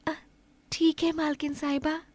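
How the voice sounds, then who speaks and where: A woman's voice: high-pitched, flat delivery, measured pace, darkish tone, no echo. A woman voicing a humble, deferential housemaid in a cartoon moral story, polite and hesitant. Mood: obedient. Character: humble, grateful young housemaid, timid and deferential.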